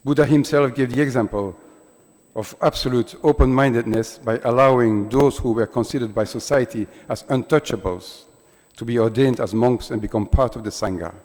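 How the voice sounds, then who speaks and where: A man with a mid-range voice reads a prepared speech in a measured, formal way. His words ring out with a long reverb through a large conference hall. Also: mid-range voice, a little mellow. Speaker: conference speaker.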